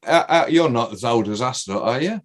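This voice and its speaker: A man's voice: mid-range voice, lively intonation, a little fast. Teasing forties man hosting a podcast. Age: 40s. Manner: jokey, teasing, swears casually. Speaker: podcast host. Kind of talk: casual group chat.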